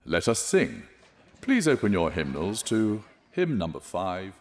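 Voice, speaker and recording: A man's voice: low-pitched, huge pitch swings, measured pace, full character voice. A man playing a pompous, pious eighteenth-century clergyman in an audio drama, with a sermonizing, condescending manner. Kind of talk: period audio drama acting, preaching and narration.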